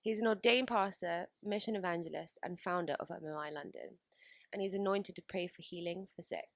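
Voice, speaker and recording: Creaky-voiced woman hosting. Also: lowish voice, fairly quick, no room sound, studio quality. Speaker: radio show host. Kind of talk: introducing and interviewing a guest.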